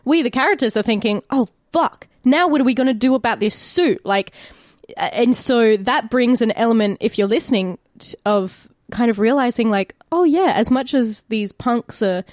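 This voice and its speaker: A woman's voice: fairly high pitch, normal speed, darkish tone, no room sound. Australian woman chatting casually, dry close sound. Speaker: actor and podcast player. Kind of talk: casual podcast chat.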